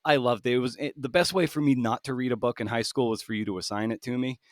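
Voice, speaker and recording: A man hosting a casual interview, speaking haltingly and repeating words as he forms his thoughts. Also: mid-range voice, fairly even intonation, dry recording, studio quality. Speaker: podcast host, interviewer.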